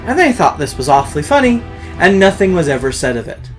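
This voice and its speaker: A storyteller host telling a horror tale in a pompous, campy, theatrical way, with dramatic pauses and drawn-out words. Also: mid-range voice, faint music behind. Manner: pompous, campy, self-flattering showmanship.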